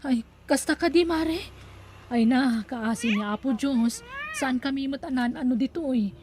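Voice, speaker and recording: A woman's voice: high voice, normal speed, darkish tone, slight background noise, lightly acted. A woman with an Ilocano accent playing a pious, sympathetic neighbour in an audio drama. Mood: thankful. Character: pious, kindly neighbour woman in a radio melodrama.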